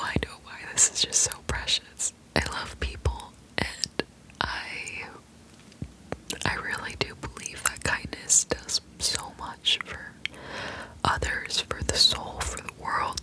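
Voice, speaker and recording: Young woman whispering asmr, slow soft voice, gets teary easy. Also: poor audio. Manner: soft, emotional, easily moved to tears. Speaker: ASMR channel host.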